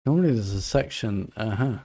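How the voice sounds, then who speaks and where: A man's voice: fairly low pitch, animated, brisk, warm tone, slightly rough. A man giving casual playthrough commentary as a game streamer, muttering as he thinks aloud.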